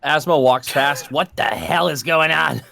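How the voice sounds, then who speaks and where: A man banters casually as a player in a tabletop role-playing game. His voice is bright and forceful, with a gravelly creak in it. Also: on the high side, measured pace.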